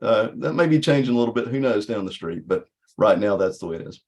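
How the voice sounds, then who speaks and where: A man informally explaining something in an online meeting, in halting, hesitant phrases. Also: fairly low pitch, expressive, a little mellow. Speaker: contest organizing group member.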